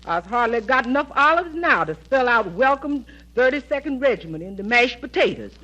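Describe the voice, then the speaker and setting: A firm, sassy household cook from old radio comedy, with a bright, very animated delivery. She is folksy and emphatic, heard through a dull, band-limited old broadcast recording. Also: a little high, fairly quick, exaggerated voice. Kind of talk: 1940s radio comedy acting.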